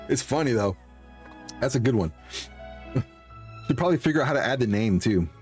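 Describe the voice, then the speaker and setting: A man's voice: medium pitch, animated, normal speed, a little mellow, faint music behind. A man chatting casually as a livestreamer, in an amused way, often repeating himself. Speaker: livestreamer playing a game.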